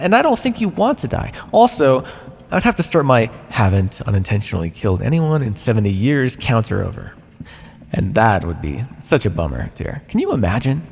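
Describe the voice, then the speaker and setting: Man playing a smug, echoing, creaky-voiced vampire captor. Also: medium pitch, a little mellow, heavily acted. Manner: smug, teasing, dramatic with sighs and drawn-out words. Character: condescending, conflicted male vampire captor and scientist. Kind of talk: ASMR roleplay, theatrical monologue to the listener.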